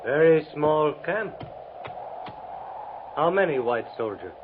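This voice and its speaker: Slow, halting young brave. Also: male voice, on the high side, big pitch swings. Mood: guarded, questioning. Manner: slow halting broken speech, clipped phrases. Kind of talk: 1950s radio western acting. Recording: muffled, noisy 1950s radio recording.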